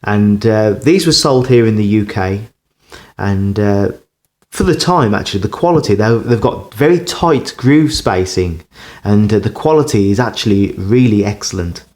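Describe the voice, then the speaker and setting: A man giving a casual hands-on demonstration as a record collector, pausing and hesitating as he talks. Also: lowish voice, a little mellow. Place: indoors beside a turntable.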